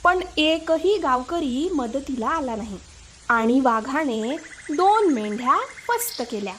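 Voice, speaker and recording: Female children's storyteller: very high voice, scripted narration, faint noise behind. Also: lively intonation, slow, a bit bright. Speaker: storyteller, channel host.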